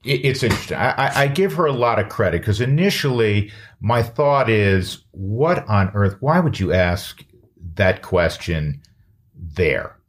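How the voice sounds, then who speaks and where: Chatty middle-aged man, low voice. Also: big pitch swings, unhurried, slight background noise. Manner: chatty, frequent "uh" fillers. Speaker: podcast host. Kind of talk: casual podcast talk and host-read ads.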